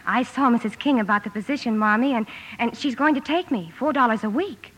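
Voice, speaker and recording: An actress playing a proper, earnest and excitable young eldest sister in a radio drama. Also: female voice, on the high side, a little fast, a little hiss or hum, natural voice. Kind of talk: 1950s radio drama acting and narration. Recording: old 1950s radio broadcast recording with music.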